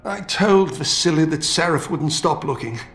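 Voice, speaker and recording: An actor playing an older British scientist in a video game, feisty and fatherly, with colourful, expressive delivery. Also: a little high, moderate pace, close to an everyday voice. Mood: grave. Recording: roomy game audio with music.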